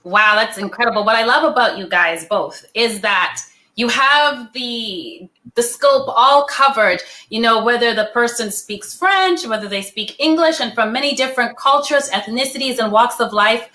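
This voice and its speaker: A woman hosting an interview, warmly affirming her guests and laughing easily. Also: fairly high pitch, moderate pace. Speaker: host.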